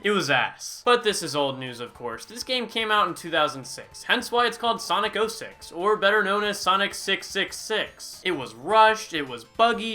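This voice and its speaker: A man's voice: high-pitched, sharp tone. A young man in his twenties doing a sarcastic, comedic scripted game review, breaking into sudden exaggerated outbursts. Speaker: gaming YouTuber.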